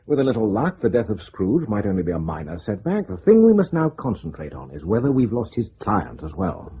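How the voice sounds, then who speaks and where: An actor playing an unruffled senior spymaster in a radio drama, reasoning with calm authority. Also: male voice, lowish voice, a little fast, slightly rough, heavily acted. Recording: dull lo-fi recording.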